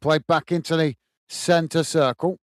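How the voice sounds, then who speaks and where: A man's voice: a little high, steady tone, measured pace, no echo, clean studio sound. An English man hosting a live football watchalong, calling the play steadily and reading out chat comments. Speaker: watchalong host.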